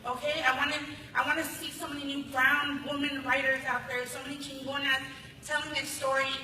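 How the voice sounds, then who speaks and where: An American woman performing spoken word poetry live with expressive, emphatic delivery into a stage microphone. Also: high voice, fairly quick. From Los Angeles, United States. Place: large hall at a conference ceremony. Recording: echoey hall, distant, faint noise.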